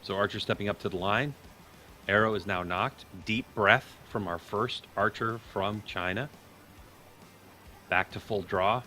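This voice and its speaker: Guy doing live sports commentary as host, lowish voice, kinda bright and punchy, bit of noise behind. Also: a little slow. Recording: noticeable background noise.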